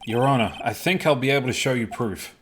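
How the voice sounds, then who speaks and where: A man's voice: mid-range voice, lively intonation, fairly quick, a bit bright, slight background noise, lightly acted. A man playing an earnest, insistent young defence lawyer in a live amateur game dub, speaking in emphatic statements. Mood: confident.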